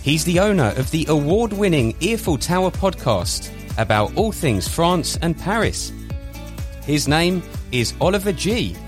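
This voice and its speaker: A man's voice: fairly high pitch, sing-song, a little slow, faint music behind. A man hosting a podcast, reading a scripted intro, then asking friendly, somewhat hesitant questions. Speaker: podcast host. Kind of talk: podcast interview.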